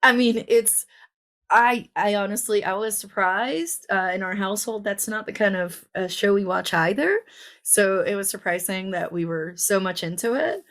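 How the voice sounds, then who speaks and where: A woman's voice: medium pitch, moderate pace, a bit bright. A woman co-hosting a podcast, chatting casually and rambling with lots of ums and likes. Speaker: podcast co-host. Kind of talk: casual chat.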